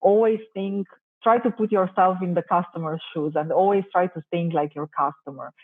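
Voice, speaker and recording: A woman's voice: lowish voice, fairly even intonation, normal speed. A woman from Romania, a native Romanian speaker, answering interview questions thoughtfully with many hesitations. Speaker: marketing director, guest. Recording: tinny phone-like call audio.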